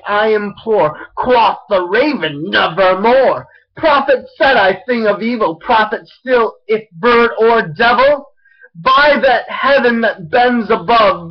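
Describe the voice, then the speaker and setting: A young man in his twenties reading gothic poetry aloud in a theatrical, impassioned, halting way, recorded on a dull webcam mic in a small room. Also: high-pitched. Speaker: amateur poetry reader. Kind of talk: dramatic gothic poetry recitation. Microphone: webcam or laptop mic at arm's length. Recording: dull webcam microphone sound.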